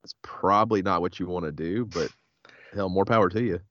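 Man, very low voice, head cold, laid-back joking podcast host, dull muffled audio. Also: a little fast, a bit bright. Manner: laid-back, joking, says "hell yeah" often. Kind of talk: casual chat.

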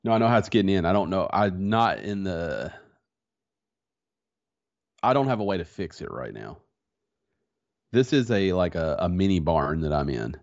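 A man's voice: low-pitched, expressive, moderate pace, long pauses, a little mellow. A man with a slightly congested voice chatting in a laid-back, slangy way as a podcast co-host. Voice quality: congested, stuffy nose. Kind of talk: casual chat. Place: small home office in a converted barn. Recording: dull, lo-fi sound.

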